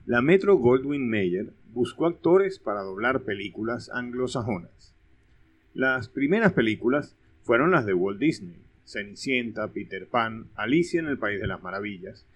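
Venezuelan man narrating a language lesson. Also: medium pitch. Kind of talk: scripted educational narration.

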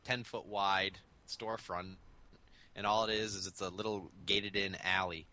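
A young man on a remote call, hoarse from a cold, chatting loosely as a podcast co-host. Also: low-pitched, level tone, moderate pace, bright, forceful tone. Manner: rambling, laid-back. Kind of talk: casual chat. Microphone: remote call over the internet.

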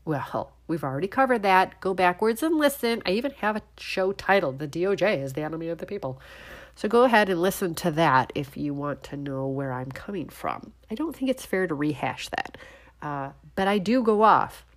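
A stuffed-up, nasal-sounding woman in her thirties talks through a casual solo show. Her pitch leaps around as she jumps from quiet mutters to loud, exasperated outbursts. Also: expressive, moderate pace. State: congested, sniffling. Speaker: podcast host. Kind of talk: casual opinion monologue.

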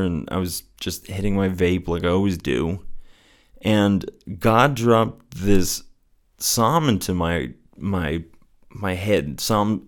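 A man with a soothing voice speaking in an earnest, gently encouraging way, as the host of a personal faith show. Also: sits low. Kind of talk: casual reflective solo talk with scripture reading.

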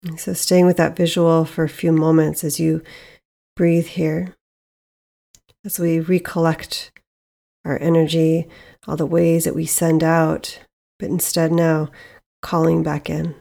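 A woman leading a guided meditation as host, speaking in a soothing, measured way with long pauses. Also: deep voice, little pitch movement, creaky, dark tone.

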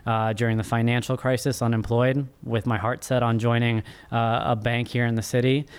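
A man in his thirties with a smooth, clean voice at an average pitch answers as a podcast guest. He sounds earnest and measured, often halting between phrases as he tells his own story. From born in Egypt, immigrated as a small child. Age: 30s. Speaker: podcast guest, first-time author. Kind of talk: interview answers, personal storytelling.

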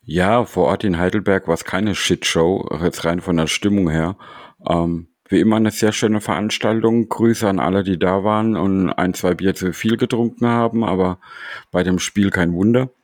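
Deep-voiced man, podcast co-host, dry close sound, calm and matter-of-fact. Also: normal speed, dry recording. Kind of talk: casual podcast chat.

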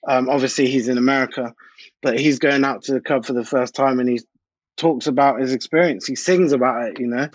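A man's voice: mid-range voice, steady tone, brisk, no echo. Man chatting casually, dull dry recording. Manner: informal, slangy. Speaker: DJ and music producer, interview guest. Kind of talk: casual interview chat.

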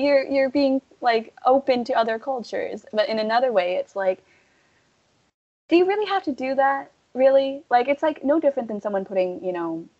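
A young woman talking casually and at length, full of fillers, turning animated when she quotes other people. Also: mid-range voice. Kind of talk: casual conversation. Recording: dull lo-fi audio.

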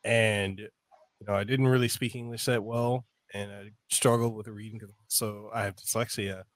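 A man's voice: on the low side, flat delivery, moderate pace, no echo. Rambling male podcast host from Samoa. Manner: loose and rambling, full of "like" and restarts. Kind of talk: casual interview chat.

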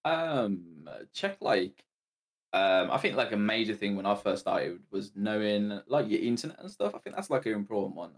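Hesitant low-voiced man giving tips. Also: very animated, normal speed. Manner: hesitant, many "um"s. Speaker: guest streamer. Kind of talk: casual advice to new streamers.

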